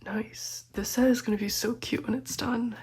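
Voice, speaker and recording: A young woman who is slightly sick and congested, talking gently and often half-whispering through a collecting vlog, with frequent ums and small laughs. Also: on the high side, fairly even intonation, normal speed, breathy edge. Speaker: photocard collecting vlogger.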